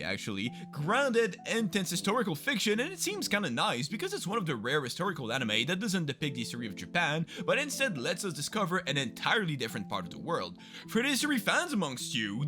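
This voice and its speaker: A man's voice: a little high, bright, forceful tone. A young man hosting a comedic commentary video about the anime season, sarcastic and mock-dramatic. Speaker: YouTube host.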